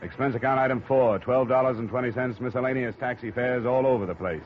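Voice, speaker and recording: A man's voice: mid-range voice, fast, soft background music, natural voice. An actor playing a dry, wisecracking male insurance investigator who narrates a 1950s radio drama. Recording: dull old radio broadcast.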